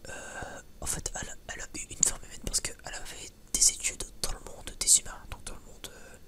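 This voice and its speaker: Whispering man, hesitant ASMR ramble. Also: brisk. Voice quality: breathy, unvoiced whisper. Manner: hesitant and rambling, many false starts. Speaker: ASMR video creator. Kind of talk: whispered ASMR review, casual and unscripted.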